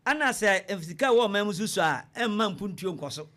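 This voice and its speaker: A man's voice: sits high, lively intonation, fairly quick, a bit bright. A man with a Ghanaian accent explaining things step by step, in an emphatic lecturer's manner, as an interview guest on radio. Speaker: economics lecturer, studio guest.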